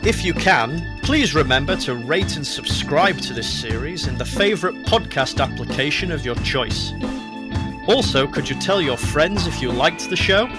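A male announcer delivers scripted show credits. His voice is on the high side for a man, and loud music with plucked guitar plays behind him. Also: lively intonation, normal speed.

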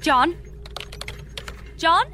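A woman's voice: extremely high pitch, animated, drawn-out words, pushed and bright, faint music behind, heavily acted. A woman playing an exasperated, pleading space engineer with a dry, emphatic manner in a comedy audio drama. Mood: panicked, exasperated.

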